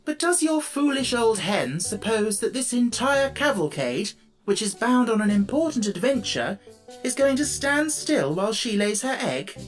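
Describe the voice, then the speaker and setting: Storybook tin man, male reader: raised pitch, emphatic, earnest and impatient. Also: big pitch swings, normal speed, soft background music, low-quality recording. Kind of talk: audiobook character voice.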